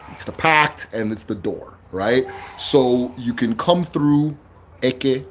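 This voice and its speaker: Loud-voiced man lecturing on livestream. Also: mid-range voice. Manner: loud, emphatic, keeps asking "right?". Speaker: livestream host teaching spirituality.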